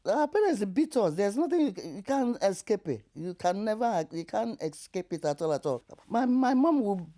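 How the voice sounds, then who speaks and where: An older woman with a Nigerian accent tells her life story in an interview, animated and laughing through hard memories. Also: mid-range voice, moderate pace, few pauses. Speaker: interview guest.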